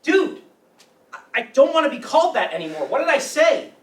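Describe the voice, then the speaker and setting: A man's voice: very high voice, big pitch swings, fairly quick. A man playing an earnest, lovesick teenage boy in a staged play reading, emphatic and self-serious. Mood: indignant. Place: room at a live staged reading. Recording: roomy, distant mic with background noise.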